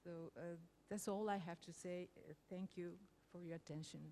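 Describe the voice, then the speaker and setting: A woman's voice: fairly low pitch, steady tone, normal speed, warm tone, a little hiss or hum. A woman professor presenting an academic paper, speaking carefully with many hesitations and restarts. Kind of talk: conference presentation.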